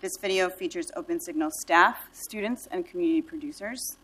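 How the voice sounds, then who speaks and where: A woman's voice: fairly low pitch, fairly quick, sharp tone, husky, rough recording. A woman giving a formal, scripted introduction as a nonprofit director in a council chamber. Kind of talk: prepared introduction at a council meeting.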